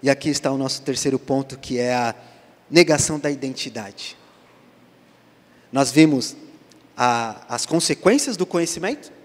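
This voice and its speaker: A male pastor with a Brazilian Portuguese accent preaching a sermon, dropping to hushed lines and then rising to loud emphasis as he acts out the dialogue. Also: medium pitch, expressive, moderate pace, long pauses. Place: church sanctuary. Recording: church hall reverb.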